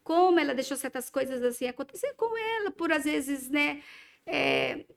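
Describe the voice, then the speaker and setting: A woman's voice: high-pitched, expressive, normal speed, vocal fry. A woman dermatologist with a mixed Brazilian Portuguese accent, chatting on a talk show and often hesitating with fillers. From Minas Gerais, Brazil. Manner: hesitant, many fillers and restarts. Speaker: dermatologist guest. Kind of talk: casual talk-show chat.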